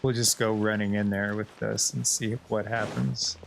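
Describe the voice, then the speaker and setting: A man's voice: lowish voice, little pitch movement, normal speed, creaky, background noise. A man giving casual, chatty gameplay commentary as a streamer, often addressing the game characters. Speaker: gaming streamer.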